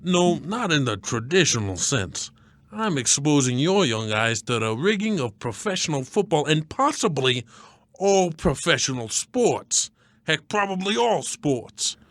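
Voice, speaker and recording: A man playing a grumpy, folksy, conspiracy-minded father-in-law in a scripted comedy sketch, gruff and emphatic. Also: fairly high pitch, big pitch swings, measured pace, a bit bright, no room sound, exaggerated voice. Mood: grumpy, smug. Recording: noticeable background noise.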